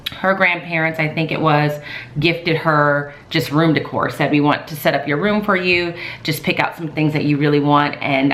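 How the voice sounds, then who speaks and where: A woman in her thirties, a teacher, chatting casually in a calm, soft-spoken way with many fillers. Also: low voice. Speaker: teacher vlogger. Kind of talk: casual vlog talk. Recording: echoey room.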